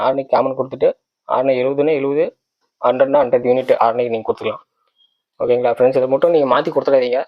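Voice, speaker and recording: A man's voice: medium pitch, lo-fi sound. A man giving a casual product walkthrough, explaining step by step and often checking that listeners follow. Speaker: tech channel presenter.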